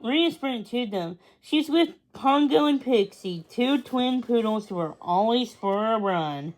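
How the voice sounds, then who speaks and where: A woman's voice: fairly high pitch, expressive, a little slow, low-quality recording. A woman narrating a children's story as a read-aloud. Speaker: storybook reader.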